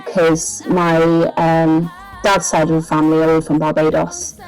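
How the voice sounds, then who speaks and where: Welsh female singer-songwriter, interviewee. Lower register, informal, feels her way through answers. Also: monotone, a little slow, darkish tone, faint music behind, low-quality recording. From Wales.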